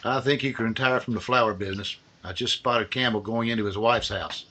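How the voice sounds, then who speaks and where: An actor playing a folksy, teasing police detective partner in an easygoing 1940s radio drama style. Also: male voice, fairly low pitch, brisk, background noise, lightly acted.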